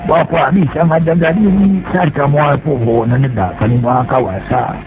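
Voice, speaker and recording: A male storyteller voicing an elderly sage who is calm and fatherly, giving solemn, reassuring advice. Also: a little high, normal speed, voice pushed up, close to an everyday voice, low-quality recording. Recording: music playing behind.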